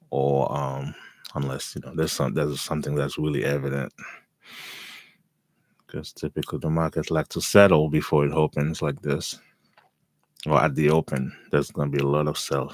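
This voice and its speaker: A man with a raspy, hoarse voice, giving calm live commentary as he day trades and thinks aloud. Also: extremely low pitch, dry recording.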